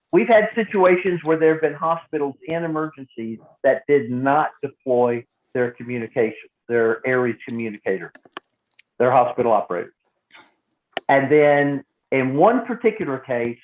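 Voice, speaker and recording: A man giving an instructional talk to a group as a trainer, speaking emphatically and deliberately and repeating points for stress. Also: mid-range voice. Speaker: emergency radio volunteer trainer. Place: indoor room, live training session. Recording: roomy echo, phone-like narrow band.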